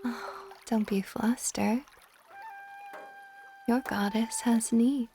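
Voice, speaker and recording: A woman playing a possessive, tender dragon goddess in an intimate ASMR roleplay, speaking softly and breathily, often near a whisper. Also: on the high side, fairly even intonation, faint music behind. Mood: teasing, seductive. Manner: soft, breathy, slow, often dropping to whispers.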